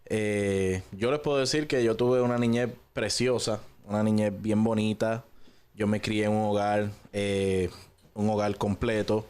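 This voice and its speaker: Low-pitched Puerto Rican man, conversational. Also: steady tone, unhurried, a little mellow, clear, resonant tone. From Puerto Rico. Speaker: guest giving a personal testimony. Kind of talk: relaxed conversational storytelling.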